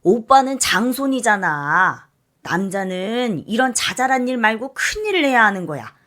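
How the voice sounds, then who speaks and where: A woman voicing a harsh middle-aged mother who scolds her daughter and dotes on her son, speaking loud, shrill and scornful in a storybook reading. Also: big pitch swings, moderate pace. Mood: scolding. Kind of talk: storybook reading with acted voices.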